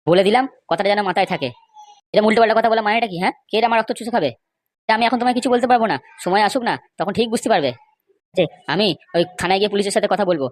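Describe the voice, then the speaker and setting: Man playing a rapid-fire, quarrelsome cartoon fellow. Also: high voice, no room sound, close to an everyday voice. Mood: indignant, insistent. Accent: West Bengal Bengali. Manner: scolding, insistent, rhetorical questions. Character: argumentative, indignant cartoon men bickering over money. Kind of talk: amateur comedy cartoon dialogue.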